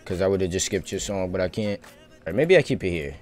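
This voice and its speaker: A man's voice: deep voice, animated, fairly quick. A man doing casual live gameplay commentary as a streamer, talking to himself in slangy, sweary asides. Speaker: game streamer. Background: music and game audio.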